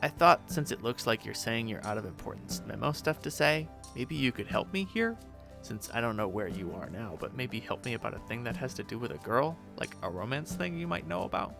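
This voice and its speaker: A man voicing a shy young male alien troll who talks hesitantly and softly. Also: medium pitch, sing-song, a little fast, vocal fry, over music. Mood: timid. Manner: hesitant, soft, mumbling.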